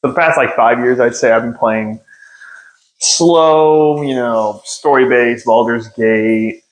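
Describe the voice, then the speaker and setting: A man's voice: mid-range voice, slow, low-quality recording. A young man hosting a podcast from home, talking off the cuff into a phone on a stand, rambling and self-deprecating, with lots of fillers. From United States. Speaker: podcast host. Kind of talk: unscripted casual monologue.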